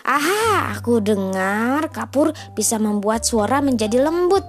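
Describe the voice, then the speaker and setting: A woman's voice: fairly high pitch, very animated, a little slow. A woman voicing a greedy, wicked, scheming male wolf in a children's storybook tale. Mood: excited, sly.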